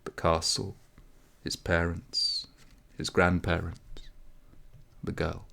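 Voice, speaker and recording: A man reading a story aloud as scripted narration, measured, with dramatic pauses. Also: deep voice, flat delivery, vocal fry, pushed and bright. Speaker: audiobook reader.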